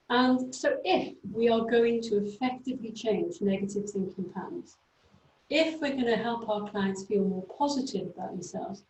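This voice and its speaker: A woman with a Welsh accent giving a keynote talk on a video call, speaking quickly and explaining with fillers. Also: mid-range voice, dark tone, slight background noise, poor audio. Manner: fast-talking, explanatory, with fillers. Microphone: computer microphone on a video call.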